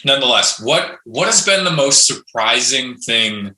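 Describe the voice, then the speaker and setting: Bright-toned host in an echoey room. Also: male voice, mid-range voice, animated, measured pace. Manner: enthusiastic, repeats words while asking questions. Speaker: podcast host. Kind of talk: casual interview hosting.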